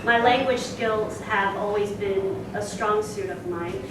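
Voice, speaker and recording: A woman's voice: medium pitch, measured pace. Young woman, from Singapore, chatty presenter, many fillers, distant mic, roomy echo, background noise. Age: young adult. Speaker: speaker. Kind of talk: presentation to a club. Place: meeting room. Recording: echoey room, distant mic, noisy.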